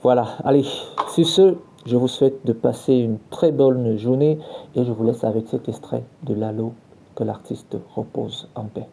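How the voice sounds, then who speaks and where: A male podcast host with a deeply mellow, dark voice that crackles with fry. He presents in a semi-scripted radio style, somewhat halting, pausing to search for his words. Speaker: podcast host, culture journalist.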